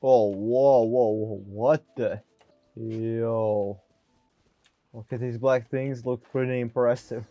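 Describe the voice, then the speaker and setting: A man's voice: mid-range voice, steady tone, slow, muffled recording. A man giving casual, off-the-cuff commentary as he plays through a video game. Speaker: gaming video creator. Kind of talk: casual let's play commentary. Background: game music.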